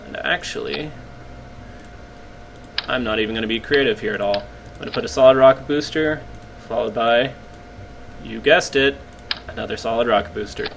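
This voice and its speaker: A man with a slightly croaky voice casually commenting on his gameplay, rambling and poking fun at himself as he explains. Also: medium pitch, normal speed, long pauses, a bit bright, slight background noise. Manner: rambling, self-deprecating, explains as he plays.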